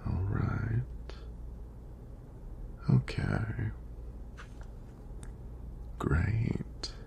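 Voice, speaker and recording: A man acting as a hunky, gentle EMT in an ASMR roleplay. His low voice is heavily fried and drops into a breathy near-whisper. He takes his time, very slowly reassuring and softly flirting. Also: slight background noise, heavily acted. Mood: soothing, attentive. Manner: slow, soothing, reassuring, praising. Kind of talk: soft-spoken ASMR roleplay.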